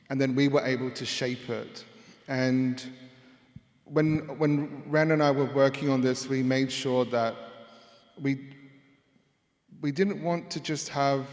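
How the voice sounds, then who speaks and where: A man, speaking on a panel with a handheld mic. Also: mid-range voice. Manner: loud voice. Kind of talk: panel discussion. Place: large hall with audience. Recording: hall reverb.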